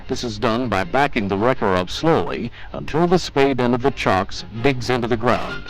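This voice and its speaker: A man's voice: mid-range voice, lively intonation, normal speed, low-quality recording. A man reading scripted instructional narration for a training film in a formal, methodical, step-by-step manner. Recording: 1980s training film soundtrack with music.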